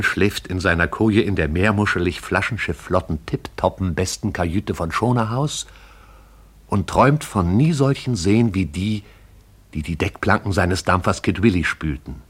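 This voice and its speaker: A man narrating a poetic radio play in a husky, hushed, incantatory and intense way. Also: deep voice.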